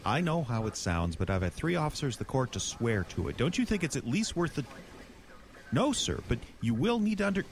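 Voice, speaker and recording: An actor playing a beleaguered, wry small-town police chief in an audio drama, with a folksy, exasperated manner. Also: male voice, medium pitch, very animated, a little fast. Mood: official, pleading. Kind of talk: audio horror drama acting.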